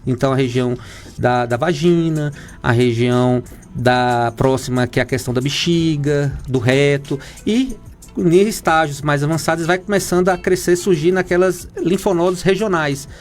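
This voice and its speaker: A man, an oncologist from Minas Gerais with a Brazilian accent, explains things in a halting way, full of fillers and restarts. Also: fairly high pitch, soft background music. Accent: Brazilian Portuguese, Minas Gerais. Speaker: oncologist guest. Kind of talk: explanatory interview talk.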